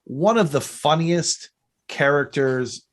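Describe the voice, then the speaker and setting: A man's voice: a little high, expressive, slow. A man hosting a podcast in casual fan chat, rambling and often cutting into his own sentences. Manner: rambling, self-interrupting. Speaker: podcast host.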